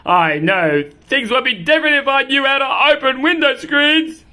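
A woman's voice: sits high, sharp tone, a little hiss or hum, pitched above the performer's own voice. A woman voicing a grumpy, bored indoor cat in a picture-book read-aloud, whiny and melodramatic. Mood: sulky, scheming. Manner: whiny, melodramatic, drawn-out delivery.

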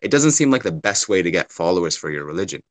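A man's voice: low-pitched, very animated, quick pace. A young man on a video call, earnestly explaining his view with everyday examples and many fillers. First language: English. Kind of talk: casual debate on a video call. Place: small indoor room. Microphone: phone or laptop on a video call.

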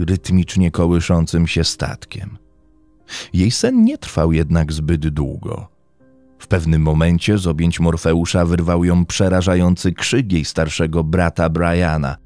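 Deep-voiced man narrating true crime. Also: expressive, a little slow, dark tone, no room sound. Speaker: podcast host and narrator. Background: background music.

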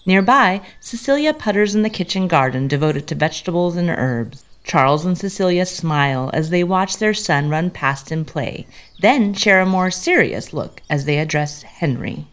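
A woman narrates a scripted period tale. Her voice sits fairly low but moves widely in pitch, in a storytelling style. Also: expressive, moderate pace, few pauses.

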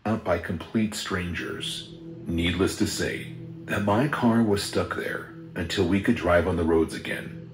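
A man reading a first-person horror story in a steady, measured storytelling voice. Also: low-pitched. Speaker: narrator. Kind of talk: scripted horror story narration. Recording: roomy sound with music underneath.

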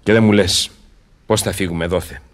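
Guy doing a weary old scholar, solemn verse delivery, radio drama, kinda low. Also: steady tone, natural voice. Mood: doubtful, weary. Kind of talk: radio theatre acting in verse.

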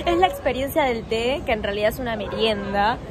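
A woman's voice: a little high, animated, normal speed, crowd noise. A woman in her twenties with an Argentine accent, chatting casually and effusively to a phone camera as a vlogger. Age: 20s. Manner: effusive, gushing. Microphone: phone at arm's length.

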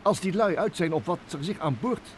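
A man's voice: sits high, expressive, fairly quick, a little hiss or hum. An actor in a vintage radio play, playing a nervous, stammering male professor who pilots a small submarine. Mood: anxious. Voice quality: stammering, hesitant.

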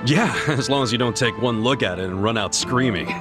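Good-natured, plain-spoken male game character, played by an actor, mid pitch, colloquial delivery, over clear music. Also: a little fast, natural voice. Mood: sheepish, hesitant. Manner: casual, colloquial. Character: good-natured, plain-spoken man, loyal and easily flustered. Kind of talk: video game voice acting. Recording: music behind the voice.

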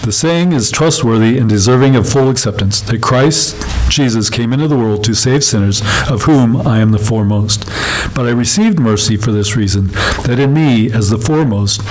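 A middle-aged man reading scripture aloud in a plain, measured way at a lectern microphone in a small church room. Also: medium pitch, a little hiss or hum, rough recording. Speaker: scripture reader. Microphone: gooseneck lectern microphone.

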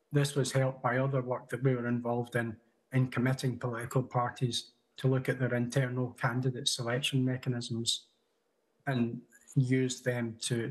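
A man in his thirties giving a prepared conference talk over a video call from a room at home, measured, with small restarts. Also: medium pitch, breathy edge, poor audio. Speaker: conference speaker. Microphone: video call webcam.

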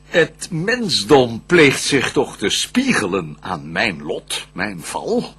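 A man playing a scheming, vengeful fallen lord of hell in a classical verse radio play, declaiming in measured theatrical style. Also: medium pitch, huge pitch swings, fairly bright tone, husky, exaggerated voice. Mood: doubtful, bitter.

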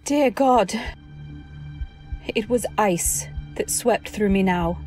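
A woman's voice: medium pitch, slow pace, a little mellow, soft background music, lightly acted. A woman playing an anxious, devoted wife who narrates her story in dramatic old-time radio style. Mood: horrified. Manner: dramatic, earnest delivery. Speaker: narrator and actor.

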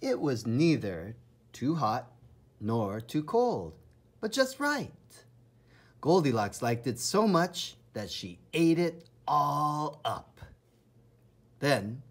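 A man reading a children's storybook aloud with enthusiastic, theatrical emphasis and dramatic pauses in a husky, breathy voice. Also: medium pitch, big pitch swings. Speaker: storybook reader. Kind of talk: children's read-aloud narration.

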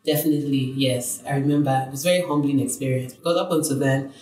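Woman telling lively stories. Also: very deep voice, fast, echoey room, low-quality recording. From Nigeria. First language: Yoruba. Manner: animated storyteller, acts out voices in her tales. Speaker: podcast guest. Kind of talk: casual storytelling interview.

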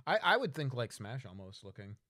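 A man's voice: mid-range voice, big pitch swings, a little fast, sharp tone, no echo, studio quality. A man co-hosting a casual discussion, giving blunt opinions. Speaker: podcast co-host.

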